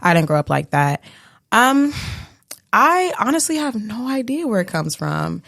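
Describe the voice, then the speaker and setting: A young American woman with a deep alto voice, speaking in a composed, slightly monotone way as a casual interview guest. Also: a little slow, vocal fry, no echo, clean studio sound. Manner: composed, serious, somewhat monotone. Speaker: guest, rapper and singer.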